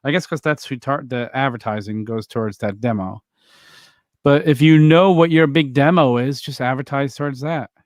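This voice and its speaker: A man's voice: mid-range voice, normal speed, no echo, clean studio sound. A man co-hosting a wrestling podcast, rambling and teasing in casual chat.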